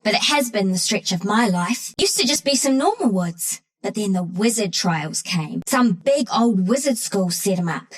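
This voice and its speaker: A woman's voice: medium pitch, sing-song, moderate pace, hardly any pauses. A woman voicing a cheeky, scrappy young squirrel-like bandit heroine in a video game, with a brash, playful, slangy way of talking. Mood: wry storytelling. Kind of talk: video game character voice lines.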